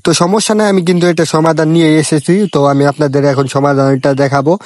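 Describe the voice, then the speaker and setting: Male tutorial host, Bangladeshi accent, slightly high voice, very fast repetitive step-by-step delivery. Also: a little mellow, no echo. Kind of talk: informal phone app tutorial narration.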